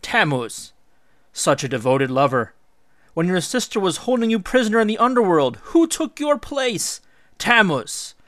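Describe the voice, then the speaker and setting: A bold hero king from an old myth , acted by a man reading aloud, sardonic and taunting. His pitch lifts on scornful questions, and the sound is close and dry. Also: a bit bright, no echo.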